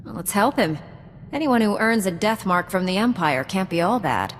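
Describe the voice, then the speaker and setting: An actress playing a principled, calm heroine knight in a video game, speaking in an earnest, measured way. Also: female voice, mid-range voice, a little hiss or hum, close to an everyday voice. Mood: firm, principled.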